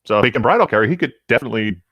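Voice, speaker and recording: A man's voice: medium pitch, expressive, very fast, fairly bright tone, no echo. A man reading a story aloud and bantering casually with friends. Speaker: co-host reading fan fiction aloud.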